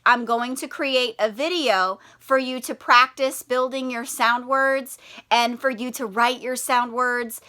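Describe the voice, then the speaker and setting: A woman's voice: on the high side, sharp tone. A woman who teaches primary school, reading aloud and talking directly to children with prompting questions.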